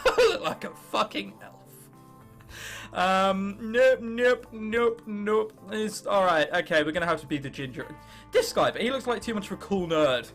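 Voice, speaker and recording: A man's voice: high-pitched, unhurried, a bit bright, faint music behind. A 19-year-old man with an English accent doing casual, sarcastic game commentary with mock bravado, sometimes reading on-screen text aloud. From England. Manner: sarcastic, self-mocking bravado, casual swearing. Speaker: gaming video host.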